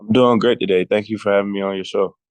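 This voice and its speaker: Teen boy, from Georgia, polite, laid-back, low smooth voice, dry sound. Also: fairly even intonation, fast talker, no room sound. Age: teens. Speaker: football recruit guest. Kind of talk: interview answers.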